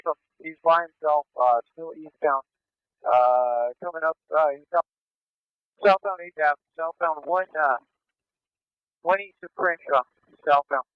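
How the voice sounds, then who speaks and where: Halting man calling directions on muffled police radio. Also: a little high, clear, resonant tone, no room sound. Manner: halting, clipped, repeats street directions. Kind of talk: live police radio calls of a pursuit.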